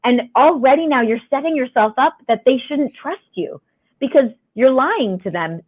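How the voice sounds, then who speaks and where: A woman guest on a podcast with a raspy voice, telling her story frankly and chattily over a remote call. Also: high voice, expressive, moderate pace. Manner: frank, chatty, laughs often. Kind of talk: candid conversational storytelling. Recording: tinny phone-line sound.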